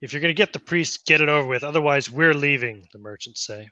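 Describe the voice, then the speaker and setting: A man runs a tabletop game with brisk, matter-of-fact rulings. His voice is bright and forceful at a middle pitch. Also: muffled recording. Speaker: game master.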